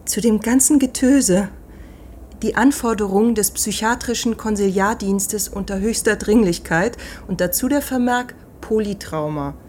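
A woman playing a brisk, slightly piqued hospital doctor in a radio drama, crisp and pointed. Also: medium pitch, darkish tone, a little hiss or hum, lightly acted. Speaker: hospital colleague of the psychiatrist.

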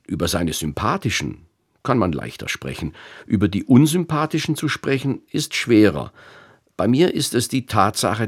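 A man's voice: low voice, breathy edge. A male radio host reading a reflective, self-critical introduction in a studio. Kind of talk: scripted radio presentation.

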